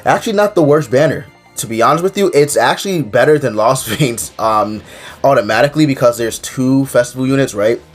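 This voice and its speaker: A young man with a stuffy nose from a cold, chatting casually about a mobile game in a rambling, filler-heavy way. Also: medium pitch. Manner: rambling, filler-heavy, keeps saying "to be honest". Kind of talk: casual game commentary to camera.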